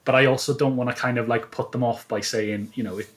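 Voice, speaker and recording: A man speaking as a guest author in a casual interview, explaining things in a thoughtful, halting way. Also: medium pitch, fairly even intonation.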